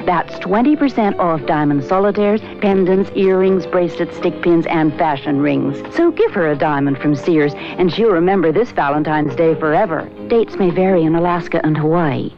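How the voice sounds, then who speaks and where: Low-voiced woman in a vintage radio ad. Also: moderate pace, few pauses. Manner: smooth and persuasive. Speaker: radio commercial announcer. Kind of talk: 1970s retail radio ad. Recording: lo-fi radio ad with music bed.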